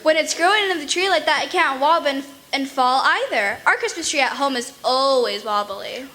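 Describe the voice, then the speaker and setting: A woman's voice: high-pitched, expressive, fairly quick, sharp tone. A female performer playing an eager, pestering little neighborhood girl in an old-time radio comedy, gushing and full of repeated questions. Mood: gushing, excited.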